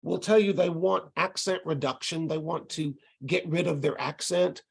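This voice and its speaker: Gravelly middle-aged man with a Texas accent. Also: a little high, moderate pace. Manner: relaxed, conversational explaining with frequent ums and restarts. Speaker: ESL instructor presenting to tutors. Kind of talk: online lecture over video call. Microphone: video call.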